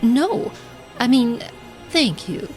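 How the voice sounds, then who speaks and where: A woman's voice: on the high side, big pitch swings, extremely slow, darkish tone, exaggerated voice, poor audio. An actress in an audio drama playing a middle-aged wife and mother, weary and guarded, with a wry restraint. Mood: coolly probing. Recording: music under the dialogue.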